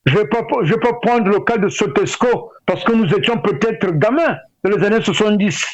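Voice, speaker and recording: High-voiced Congolese man, halting speech. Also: dry recording. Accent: Congolese French. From Brazzaville, Republic of the Congo. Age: middle-aged. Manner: hesitant, halting delivery with repeated words. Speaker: interview guest. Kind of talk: spoken interview, opinionated discussion. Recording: dull lo-fi radio sound.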